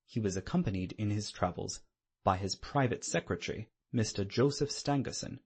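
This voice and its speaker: Male narrator for classic fiction audiobook: lowish, slightly raspy voice, measured literary reading, dramatic but scripted.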